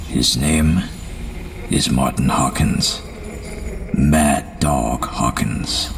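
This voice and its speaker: A somber male psychiatrist of the 1920s played by a man recounts his journal as audio drama narration. His voice is extremely deep and measured, haunted and solemn, over clear background music. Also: exaggerated voice.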